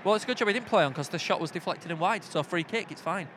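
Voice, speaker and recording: A man with a Yorkshire accent bantering through live football commentary in a colloquial, jokey, excitable way. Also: high-pitched, quick pace, slight background noise. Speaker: football commentator and podcast host. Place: outdoors at a football ground.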